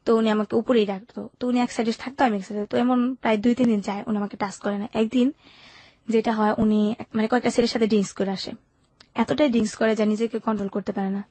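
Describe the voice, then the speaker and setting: Young female interview guest, Bangladeshi accent, candid colloquial storytelling, quick pace, mid pitch, dry sound. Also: fairly even intonation, dark tone, no room sound. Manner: colloquial, recounts events with quoted dialogue. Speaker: interview guest telling her life story. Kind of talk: candid personal storytelling in conversation.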